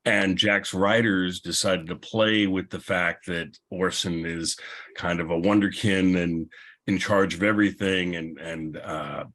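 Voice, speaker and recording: Man, low voice, panel host, casual and rambling, good-humored, unscripted. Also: steady tone, measured pace, fairly bright tone.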